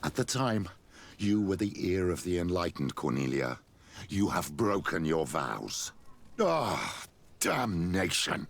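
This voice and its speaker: A man's voice: deep voice, big pitch swings, takes it slowly, exaggerated voice. An actor playing a sly, ambitious old clergyman burdened by guilt, speaking formally and theatrically in a video game. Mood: agitated. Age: older. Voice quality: gravelly, husky. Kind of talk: video game cutscene acting.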